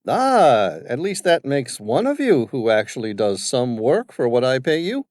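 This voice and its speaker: A man's voice: medium pitch, very animated, a little slow, darkish tone, no room sound, clean studio sound, pitched above the performer's own voice. A man voicing an arrogant, condescending wealthy older businessman who treats everyone as hired help. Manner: pompous, condescending.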